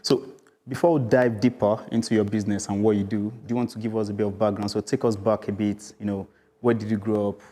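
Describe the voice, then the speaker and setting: Male host, low voice, playful ribbing, stumbles into questions. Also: little pitch movement, fast, warm tone. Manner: teasing, repeats words when starting. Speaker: interview host. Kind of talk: casual interview chat.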